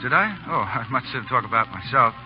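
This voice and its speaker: A man's voice: mid-range voice, sing-song, brisk, natural voice. An actor playing a brash, protective male Canadian officer with bossy, sarcastic banter in a vintage radio drama. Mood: cocky. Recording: muffled old radio recording, music behind.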